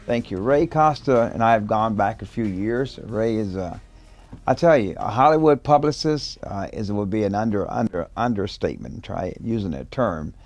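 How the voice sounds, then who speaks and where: A man's voice: on the low side, lively intonation, moderate pace, darkish tone, no echo. A man hosting an interview show, eager, interjecting and stumbling over his words. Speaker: talk show host. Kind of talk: interview host.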